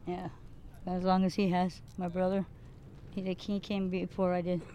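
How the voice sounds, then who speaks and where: A woman's voice: fairly low pitch, monotone, moderate pace, warm tone, a little hiss or hum. A woman from California speaking plainly and matter-of-factly in an interview. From Ventura, California. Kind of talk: interview testimony.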